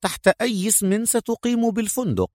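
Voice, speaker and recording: A man voicing a soft-spoken, proud young woman intelligence officer in an audiobook. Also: high-pitched, a little fast, no echo, studio quality, voice pushed up. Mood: defiant. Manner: hushed, breathy whisper at times, calm. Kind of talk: acted audiobook dialogue.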